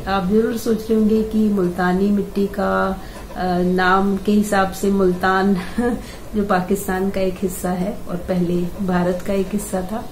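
An older woman with a hoarse, scratchy throat talks slowly and warmly, like a friendly home-remedy host. She hesitates often and clears her throat now and then. The voice sits in a roomy, echoey space with faint background hum. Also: medium pitch. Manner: unhurried, chatty, frequent hesitations and throat clearing. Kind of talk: casual home-remedy tutorial. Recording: echoey room with faint ambient noise.

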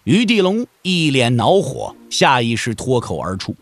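A man delivering dramatic, expressive scripted narration for a wuxia audio drama. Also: mid-range voice, measured pace, dry recording, soft background music. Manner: expressive storytelling.

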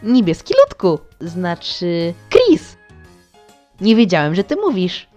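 A man's voice: sits high, wildly swooping pitch, slow pace, darkish tone, lo-fi sound, heavily acted. A man voicing a carefree, easily bored little boy prince in a cartoon fan dub, with a blunt, playful, childish way of talking. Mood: casual, playful. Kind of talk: fan-dub comic acting. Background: music.

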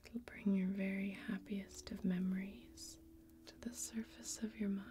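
A young woman playing a soothing, inviting fantasy tavern keeper in a soft, breathy whisper, with deliberate, lingering phrasing, as ASMR roleplay. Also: medium pitch, little pitch movement, close to an everyday voice. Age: young adult.

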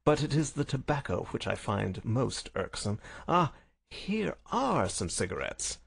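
Storybook detective, played by a male reader: self-assured, wry, theatrical, precise diction, husky and creaky. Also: mid-range voice, very animated, a little slow, heavily acted. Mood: relieved, wry. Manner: precise, lofty, courteous. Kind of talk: dramatised audiobook reading.

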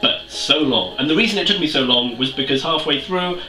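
A young Welsh man talks through a book review in a chatty, animated, self-mocking way. His voice is bright and sharp. He sits back from the camera mic in a small, roomy-sounding room. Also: fairly high pitch, a little fast, recorded from a distance, soft background music. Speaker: book vlogger. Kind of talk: casual book review chat. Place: small room with bookshelves, indoors.

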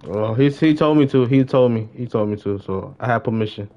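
A man's voice: medium pitch, a little fast, warm tone. A young American man chatting casually on a live game stream, slangy and repeating short phrases. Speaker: live streamer playing an online roleplay shooter game. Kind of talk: casual live gameplay chat. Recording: slightly dull, lo-fi stream audio.

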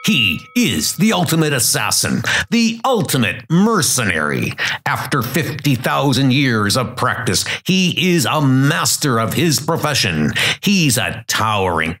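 A man's voice: medium pitch, sing-song, takes it slowly. A man narrating a comic book in a dramatic, scripted style. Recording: loud music under the voice.

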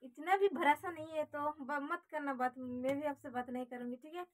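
A woman's voice: high voice, steady tone, moderate pace. A young adult woman with an Indian accent, talking casually on a phone call from a small room at home. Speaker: prank caller.

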